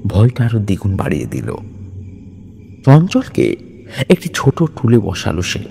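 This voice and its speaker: Slow, dramatic man telling horror stories. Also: mid-range voice, warm tone, slight background noise. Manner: suspenseful, deliberate phrasing with dramatic pauses. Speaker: horror story narrator. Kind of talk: dramatic scripted horror storytelling in Bengali.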